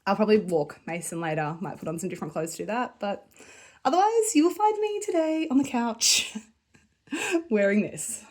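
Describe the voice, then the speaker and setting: A woman, chatting casually to camera in a lifestyle vlog, with lots of fillers and little laughs, and a slightly croaky voice from a cold. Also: medium pitch, lively intonation, normal speed. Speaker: vlogger.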